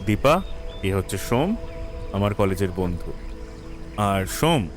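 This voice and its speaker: A man performs a cautious young man from a village in an audio drama. His voice sits a little low and he sounds respectful and slightly hesitant, with faint music underneath. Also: sing-song, slow pace. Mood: friendly, apologetic. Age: young adult.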